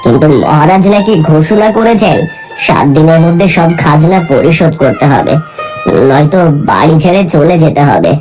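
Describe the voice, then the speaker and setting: Old villager, low, pleading, swings up and down; woman voice actor in a cartoon. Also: animated, normal speed, a little mellow, soft background music. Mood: fearful, pleading. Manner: pleading, fearful and wavering. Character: poor, frightened old village man.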